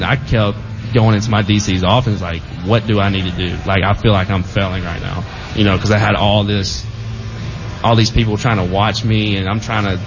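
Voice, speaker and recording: A young man, a football player, telling his story as a radio show guest in a relaxed, rambling way full of fillers. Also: on the low side. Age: young adult. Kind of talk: casual radio interview storytelling. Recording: dull lo-fi audio with clear background noise.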